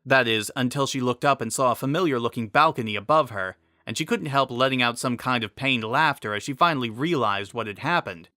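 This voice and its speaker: Bright-voiced man narrating dramatically. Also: mid-range voice, brisk, few pauses, dry recording, studio quality. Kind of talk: dramatic scripted story narration.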